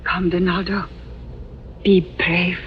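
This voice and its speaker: A woman playing a brave, solemn young captive princess, speaking gravely and dramatically in a 1940s radio adventure. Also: fairly low pitch, flat delivery, slow pace. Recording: muffled, hissy 1940s radio recording.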